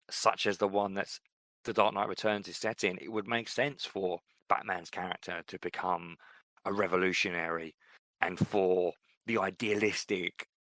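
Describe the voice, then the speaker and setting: A man's voice: on the low side, bright, forceful tone, no room sound. A man in his thirties co-hosting a casual podcast, talking in a reflective, rambling way with many fillers and restarts. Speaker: podcast co-host. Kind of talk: casual chat.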